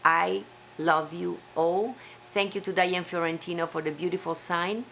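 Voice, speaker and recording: A woman's voice: lowish voice, fairly even intonation, a little slow, vocal fry, a bit bright, slight background noise. A woman from Italy with an Italian accent, hosting a live stream from home in an effusive, chatty way. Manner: effusive, chatty, with small slips in English. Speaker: live-stream show host. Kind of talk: casual live-stream sign-off.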